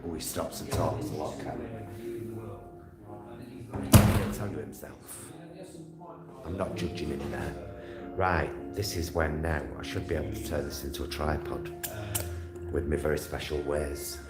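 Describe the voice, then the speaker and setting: A man talking casually to viewers in a halting, reflective ramble, often ending thoughts with little tag questions. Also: fairly even intonation, loud music behind the voice. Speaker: vlogger. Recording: loud vehicle and street ambience noise. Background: loud vehicle rumble and ambience.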